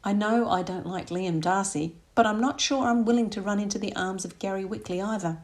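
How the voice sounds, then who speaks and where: A woman reading a romantic comedy aloud as expressive audiobook narration. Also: medium pitch, a little fast.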